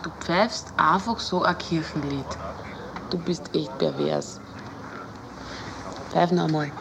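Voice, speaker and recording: A woman's voice: sits low, slow, background noise. An actress playing a teasing, incredulous woman in naturalistic film dialogue, insistent and colloquial. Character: teasing, incredulous woman needling her companion.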